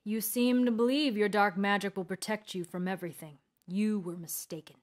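A woman's voice: medium pitch, steady tone, moderate pace, lightly acted. A stern old wizard of the road, acted by a woman reading a fantasy tale. He speaks solemnly and with iron will, giving commands that expect obedience. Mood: defiant. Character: stern old male wandering mage, righteous, iron-willed.

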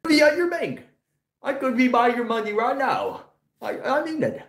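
A young man voicing an excitable, boastful goofball in an improvised comic skit, stammering and exclaiming, on an echoey phone recording. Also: very high voice, moderate pace, voice pushed up, heavily acted. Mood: boastful, excited. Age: young adult.

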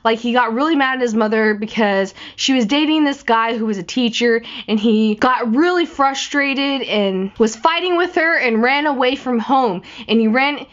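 A young woman in her twenties, slightly raspy from a cold, rambling chattily about a film while she sketches. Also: a little high, normal speed, hardly any pauses. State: recovering from a cold. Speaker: art vlogger. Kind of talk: casual chat while drawing. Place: small room in front of a patterned backdrop. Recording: slightly dull lo-fi audio.

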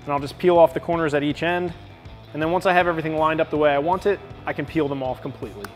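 Fast-talking man explaining an install. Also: a little high, faint music behind. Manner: steady step-by-step instruction. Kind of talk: how-to install walkthrough. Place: bright indoor garage studio.

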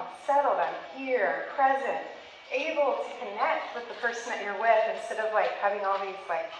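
A woman's voice: mid-range voice, a bit bright, slight background noise. A woman in her thirties, a therapist leading a parenting workshop for a small group, talking with her hands and laughing often. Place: meeting room with flip charts on the walls. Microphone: handheld mic, filmed from across the room. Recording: echoey room heard from a distance.

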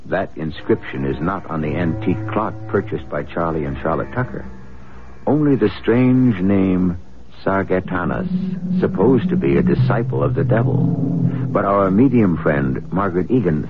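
A man's voice: deep voice, heavily acted. A man playing the wry, suspenseful host of a radio mystery, narrating theatrically over a tinny old broadcast. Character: wry, brooding male host of mystery tales.

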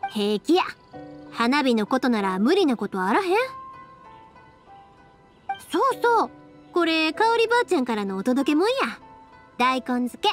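Casting: bustling young village woman, Kansai accent, high animated voice, breezy and caring, anime game. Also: unhurried, pauses often. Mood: upbeat. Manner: breezy, familiar, reassuring. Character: bright young fireworks maker, caring, bustling village girl. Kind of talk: Japanese anime game voice acting. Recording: soft music behind.

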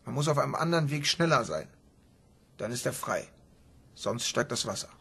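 Urgent son in a radio crime drama, super raspy, creaky, mid pitch. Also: male voice, expressive, moderate pace, a bit bright, full character voice. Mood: urgent, pressing. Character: urgent son pushing to raise a ransom.

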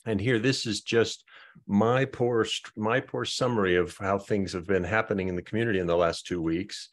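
A man hosting an online project meeting, talking through updates in a halting way and often repeating words as he thinks. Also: on the low side, fairly even intonation. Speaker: meeting host.

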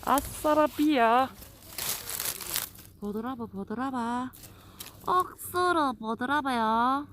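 A woman's voice: sits high, takes it slowly, pauses often, fairly bright tone, slight background noise. A woman with a Gyeongsang accent chatting playfully to the camera while picking wild greens on a steep outdoor hillside. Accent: Gyeongsang dialect. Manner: chatty, playful, laughs often. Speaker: vlogger. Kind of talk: casual vlog chat while foraging.

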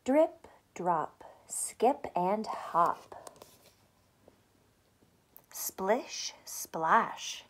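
Young woman, teacher, unhurried pace, medium pitch, expressive picture-book read-aloud, simple child-directed phrasing, recorded at home. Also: whispered. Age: young adult. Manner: asks simple questions, addressing young children; deliberate, expressive reading. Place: small furnished room at home, indoors.

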